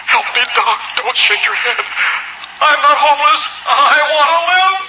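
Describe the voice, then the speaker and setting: Casting: terrified dying man, breathless pleader, extremely high strained pitch, noisy old radio recording. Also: expressive, normal speed, highs cut off. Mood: desperate pleading. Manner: breathless, pleading, anguished, swinging from whisper to cry. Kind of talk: old-time radio melodrama acting.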